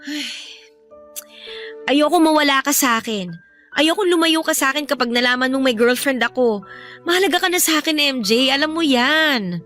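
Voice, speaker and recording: A guilty young Filipino woman in a radio love drama. She pleads and coaxes in a high voice, apologetic yet evasive, over soft music. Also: moderate pace, pauses often. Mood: pleading. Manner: coaxing, apologetic, persuasive. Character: pleading, evasive young woman, two-timing lover seeking forgiveness.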